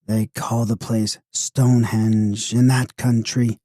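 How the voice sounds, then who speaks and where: A man's voice: lowish voice, flat delivery, slow, mellow, dry recording, studio quality. A man voicing a mysterious old lady guide who speaks in a repetitive sing-song.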